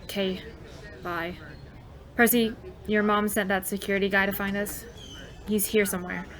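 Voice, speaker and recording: A woman's voice: mid-range voice, little pitch movement, moderate pace, natural voice. An actress playing an anxious, earnest teenage girl in an audio drama, frank and protective of her friend. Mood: anxious, apologetic.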